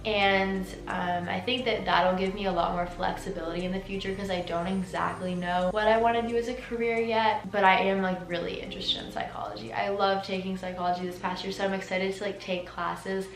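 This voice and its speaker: An eighteen-year-old woman answering viewer questions in a casual vlog, chatty and full of "like" fillers, her voice a little rough from a sore throat. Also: medium pitch, little pitch movement, a little fast, few pauses. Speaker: vlogger. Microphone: camera mic. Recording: echoey room with music underneath.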